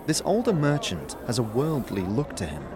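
A man narrating a fantasy audio drama in a measured, descriptive storytelling manner. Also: mid-range voice, sing-song, noisy background. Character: male storyteller of a grim fantasy adventure. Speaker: narrator and protagonist. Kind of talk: scripted second-person fantasy narration.

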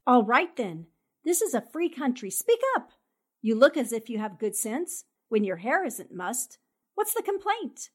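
An actress playing a fearless, sharp-tongued 1940s newspaperwoman in a radio drama, brisk and commanding. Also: a little high, sing-song. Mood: taunting, defiant.

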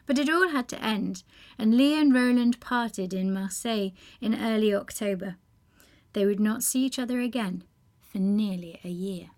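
A woman's voice: medium pitch, normal speed, a little mellow. A woman delivering scripted narration between readings.

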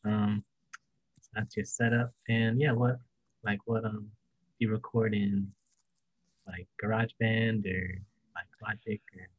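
A man's voice: fairly low pitch, level tone, unhurried, pauses often, no echo, low-quality recording. A man interviewing a musician in an easygoing, enthusiastic way, full of casual fillers.

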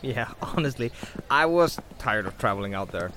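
A man's voice: mid-range voice, animated, normal speed, pushed and bright, a little hiss or hum. A man playing a good-natured, wry survivor chatting in an audio drama. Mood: wry, apologetic. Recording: music bed behind the voice.

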